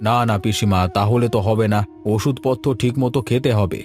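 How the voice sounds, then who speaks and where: A caring village man, played by an actor in an audio story. His voice sits at a medium pitch. He speaks in a solicitous, coaxing way, like a concerned nephew. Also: steady tone, a little fast, darkish tone, faint music behind, natural voice. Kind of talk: acted audio story dialogue.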